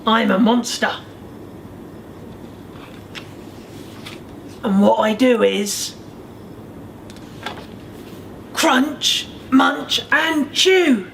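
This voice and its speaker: A man's voice: extremely high pitch, slow, vocal fry, fairly bright tone, exaggerated voice. A man putting on a small stubborn roaring monster. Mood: defiant, grumbling. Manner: roaring, grumbling, emphatic chanting. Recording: faint background noise.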